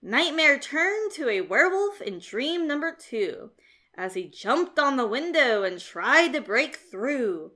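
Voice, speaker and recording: A woman reading a rhyming children's storybook aloud as narrator, in a theatrical, expressive and measured way. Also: high voice.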